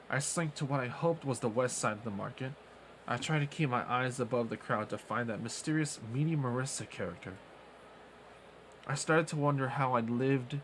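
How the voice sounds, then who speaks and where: A man streaming, reading a visual novel aloud in a steady way with small slips. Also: medium pitch, a little hiss or hum, low-quality recording.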